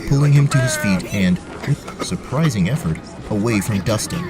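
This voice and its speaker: A male narrator tells a fantasy adventure in scripted prose. His voice is low, with music clearly playing behind him. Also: lively intonation, moderate pace. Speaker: narrator, game master.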